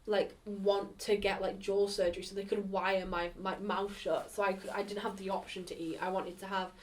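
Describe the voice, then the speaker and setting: A woman's voice: medium pitch, little pitch movement, normal speed, distant mic, a little hiss or hum. A woman answers interview questions in a rambling, candid way, with "like" in almost every phrase. The mic sits some way off from her. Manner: rambling, filled with "like".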